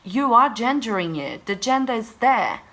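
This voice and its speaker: A woman's voice: a little high, big pitch swings, normal speed, a bit bright. A woman whose first language is Portuguese, talking through a book review in a casual vlog, emphatic and exasperated as she rants.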